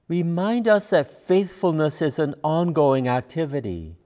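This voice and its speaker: An older man, a minister, leads a pastoral prayer from a church pulpit in measured, solemn phrases. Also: a little high, warm tone. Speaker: minister leading worship.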